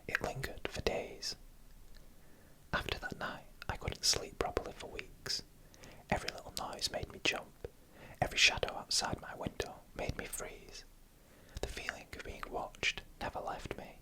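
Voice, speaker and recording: ASMR story host. Fully whispered, breathy and bright delivery, hushed and measured phrasing. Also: low-quality recording. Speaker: narrator and channel host.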